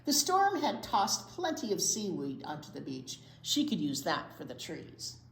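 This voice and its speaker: A woman reads a story for young children at an unhurried pace. Her pitch swings widely with drawn-out emphasis, and the room adds a clear echo. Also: wildly swooping pitch, slight background noise. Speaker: children's library storytime co-host and reader. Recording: echoey room.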